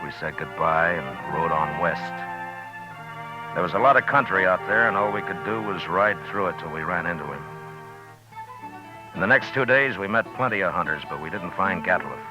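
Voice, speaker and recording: An American actor playing a weary, laconic U.S. marshal in a 1950s radio western, speaking in terse, measured, understated lines. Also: male voice, low voice, lightly acted, poor audio. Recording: old 1950s radio broadcast with music.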